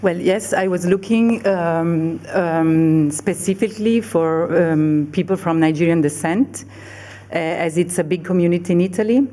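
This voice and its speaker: Low warm woman director on a panel, lots of ums and restarts. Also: normal speed. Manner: hesitant, many fillers and restarts. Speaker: film director on a festival panel. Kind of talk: panel Q&A answers.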